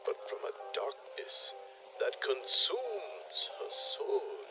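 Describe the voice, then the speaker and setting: A man playing an ominous, theatrical specialist doctor in a comic audio play, speaking in portentous pauses. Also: high-pitched, huge pitch swings, whispered, faint music behind, full character voice, low-quality recording. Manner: dramatic pauses, hushed portent. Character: Doctor Van Nelson, ominous, theatrical male vampire-ailment specialist.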